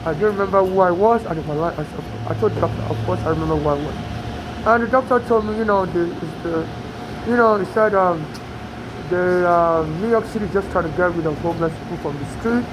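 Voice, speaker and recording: A woman's voice: lowish voice, brisk, background noise. A woman from Nigeria, speaking American English with a trace of a Nigerian accent, tells her story in a rambling, aggrieved monologue with many restarts. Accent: Nigerian-tinged American English. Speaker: immigrant telling her story. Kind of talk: personal monologue to camera.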